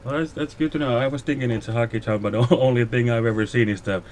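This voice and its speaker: A man's voice: mid-range voice, steady tone, fast, darkish tone, slight background noise. A man interviewing a guest on radio, asking hesitant questions and chuckling often.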